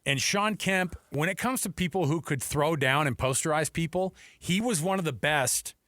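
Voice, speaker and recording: An American man working as a sports radio host, chatting casually and excitably in rambling, repetitive phrases. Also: on the high side, moderate pace, no echo, clean studio sound. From Seattle, United States. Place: radio studio.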